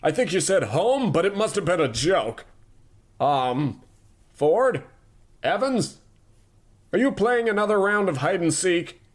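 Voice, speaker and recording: A man's voice: high-pitched, sing-song, a little slow, long pauses, exaggerated voice. An actor playing a pompous, ruthless rich tycoon in a radio-style comedy, talking down to his staff with sinister muttered asides. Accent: New England. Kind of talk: old-time radio comedy acting.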